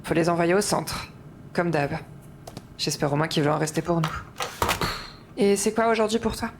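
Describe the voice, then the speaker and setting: A woman's voice: fairly low pitch, normal speed, background noise, close to an everyday voice. A woman playing a practical mother who farms, chatting casually with her daughter in an audio drama.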